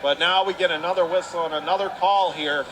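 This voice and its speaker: High-pitched male hockey play-by-play commentator, noisy arena. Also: fairly even intonation, brisk. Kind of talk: live radio sports commentary. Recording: clear arena noise behind the voice.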